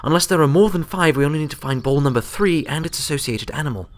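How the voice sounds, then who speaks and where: A man voicing a bright, excitable young female pony scholar in a storybook reading, eager and earnest. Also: a little high, quick pace, pitched above the performer's own voice, natural voice. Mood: matter-of-fact. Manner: eager, earnest, thinking aloud. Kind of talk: storybook character voice.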